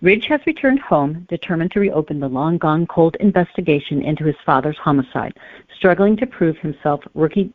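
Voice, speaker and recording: A woman's voice: sits low, expressive, normal speed, dry recording. A woman on a muffled, phone-like connection, talking as a guest author in an interview. The sound is narrow and close, with little room to it. The delivery is conversational and explanatory, with careful qualifications. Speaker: guest novelist.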